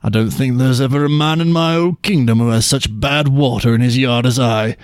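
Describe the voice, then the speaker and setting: A man voicing a blustery, grieving old king in a folk tale, with plaintive, drawn-out theatrical complaining. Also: mid-range voice, clean tone, dry recording, studio quality, natural voice. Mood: vexed.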